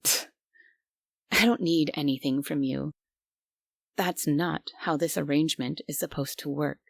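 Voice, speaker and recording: Casting: woman to play a prim, bossy female guardian angel for roleplay audio; low, unhurried delivery, secretly kind under the strictness. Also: fairly even intonation, gravelly, dry recording, clean studio sound, exaggerated voice. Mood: flustered, huffy. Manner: bossy, prim, grudgingly caring. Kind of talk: immersive roleplay audio drama, ASMR.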